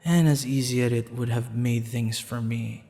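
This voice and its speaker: A man playing a lovestruck, menacing, teasing assassin in an ASMR audio roleplay, hushed and intimate, often slipping into a whisper. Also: medium pitch, steady tone, moderate pace, creaky, big-room sound, natural voice. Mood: wistful, intimate.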